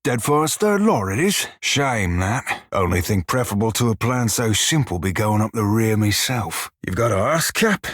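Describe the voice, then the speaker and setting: An actor voicing a short, crude, wry pirate shiphunter for a video game, with a husky, rough-edged voice and a pirate dialect full of salty sailor slang. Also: male voice, on the low side, big pitch swings, moderate pace, few pauses, a bit bright, dry recording, studio quality. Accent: pirate-style dialect. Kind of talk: video game character dialogue and barks.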